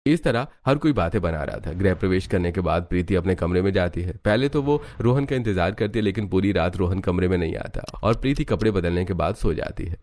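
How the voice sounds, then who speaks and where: Man, low voice, scripted bedtime moral story narration. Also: animated, a little fast, few pauses, a little mellow.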